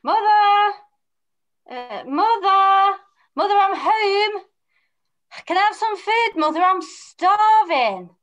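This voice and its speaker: Woman playing a high-voiced eager pantomime lad. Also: expressive, slow, pauses often, fairly bright tone, dull audio, heavily acted. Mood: excited. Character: naive, eager young lad Aladdin, pantomime hero. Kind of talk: amateur pantomime script reading. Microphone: computer mic on video call.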